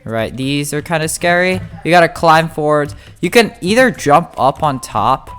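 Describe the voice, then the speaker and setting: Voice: mid-range voice, expressive, normal speed, fairly bright tone. A gamer giving a casual, chatty video game walkthrough, talking informally and straight to viewers. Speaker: gamer making a tutorial. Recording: music playing behind the voice. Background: music.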